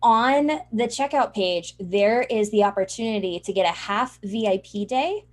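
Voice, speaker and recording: A woman's voice: mid-range voice, normal speed. Woman, interview guest and program creator, mid-range voice, conversational sales explanation.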